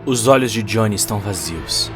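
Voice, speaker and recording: A low male voice narrates a cyberpunk tale in Brazilian Portuguese. The reading is scripted and dramatic, with music playing faintly behind it. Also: lively intonation, moderate pace.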